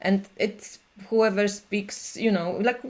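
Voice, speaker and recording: A woman who reads tarot, chatting on a live stream in an animated way with many fillers. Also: mid-range voice, slow pace. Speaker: tarot reader and channel host. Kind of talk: casual conversation on a live stream.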